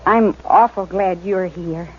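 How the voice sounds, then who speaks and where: An actress playing an earnest fifteen-year-old farm girl in a 1950s radio drama, speaking in soft, hesitant rural speech. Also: fairly low pitch, takes it slowly, slight background noise. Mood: shy. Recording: dull old radio broadcast.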